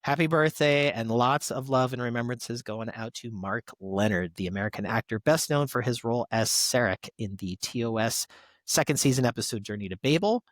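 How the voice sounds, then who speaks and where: A man's voice: mid-range voice, fairly quick, no room sound. Male podcast host, dry close-up sound. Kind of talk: reading birthday tributes with casual asides.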